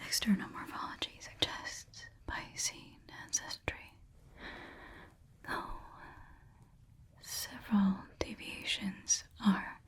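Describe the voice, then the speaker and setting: Slow, whispering woman in clinical ASMR. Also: medium pitch, fairly even intonation. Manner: measured, detached, precise scientific delivery. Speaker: ASMR performer. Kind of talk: ASMR roleplay, soft whispered clinical observation.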